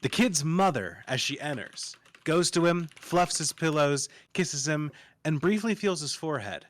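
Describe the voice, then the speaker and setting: A man's voice: a little high, animated, a little slow, no echo. A man narrating, reading screenplay stage directions aloud as part of a table read.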